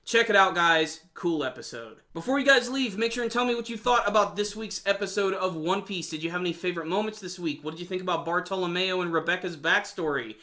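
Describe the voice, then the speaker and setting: A man's voice: sits high, fast talker, hardly any pauses, sharp tone. A man giving an enthusiastic video review of an anime episode in casual, slangy fan talk.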